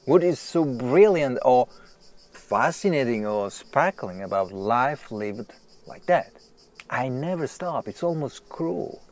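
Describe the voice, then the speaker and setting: A slow-talking, self-deprecating male writer. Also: mid-range voice, animated, a little mellow. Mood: agitated. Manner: hesitant, halting, repeating himself. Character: agitated, self-deprecating famous male writer, obsessed with work. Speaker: actor in an audio drama. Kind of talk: naturalistic audio drama acting.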